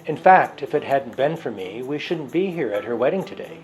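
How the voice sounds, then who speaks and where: A man's voice: medium pitch, expressive, a little fast, a little mellow, soft background music, close to an everyday voice, rough recording. A man acts a smug male librarian in a dramatized novel. He has a mid-range voice and chats in a self-important, self-satisfied way.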